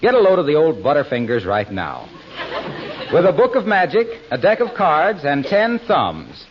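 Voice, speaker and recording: A male announcer on a 1940s radio show, reading the openings and scene introductions in a smooth, persuasive, formal way. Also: fairly high pitch, huge pitch swings, moderate pace, some chatter behind. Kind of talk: scripted radio announcing and ad read. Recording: dull old radio recording, soft orchestra music.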